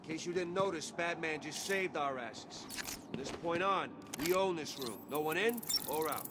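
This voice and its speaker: An actor playing a tough, resolute police squad leader in a video game, blunt and earnest, with an American accent. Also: male voice, a little high, moderate pace, heavy background noise, natural voice. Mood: firm, rallying. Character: tough, resolute male police officer leading his squad.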